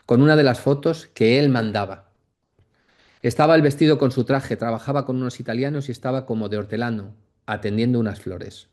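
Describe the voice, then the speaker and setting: A man lectures in an academic masterclass. His voice sits at a medium pitch with a dark, relaxed tone. He thinks aloud in a reflective, halting way and punctuates his points with little check-in tags. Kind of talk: masterclass lecture, speaking off the cuff.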